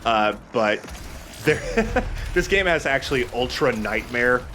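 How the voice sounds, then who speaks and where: A man's voice: medium pitch, lively intonation, measured pace, sharp tone, slight background noise. A man giving casual, chatty gameplay commentary, explaining things as he plays and swearing casually. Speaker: let's play host and player.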